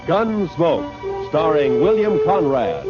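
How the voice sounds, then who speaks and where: A man with an American accent announcing a radio drama in booming, solemn, declamatory 1950s style. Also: very animated, takes it slowly. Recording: muffled old radio recording under loud music. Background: loud dramatic music.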